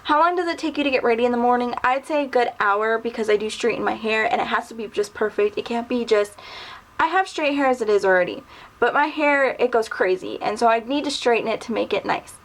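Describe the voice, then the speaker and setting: A woman in her mid-twenties talks casually to her camera. Her voice sits a little high, and she rambles easily with plenty of fillers. It sounds like a relaxed home video. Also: fast talker, poor audio. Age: 20s. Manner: rambling, chatty, lots of ums and little laughs. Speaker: vlogger. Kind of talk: casual tag video answering questions.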